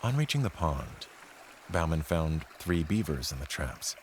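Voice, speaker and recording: A man gives a measured, solemn, literary narration of a scripted horror tale. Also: a bass voice, big pitch swings, slight background noise. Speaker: storyteller narrating an audio play. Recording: music behind the voice. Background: background music.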